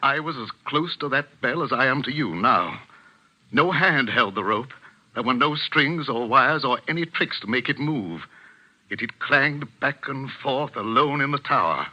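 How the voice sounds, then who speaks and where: A man's voice: mid-range voice, lively intonation, a little slow, pushed and bright, exaggerated voice. An actor playing an authoritative, skeptical middle-aged clergyman and father, formal and commanding in 1940s radio style. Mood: shaken, urgent. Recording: muffled vintage radio recording.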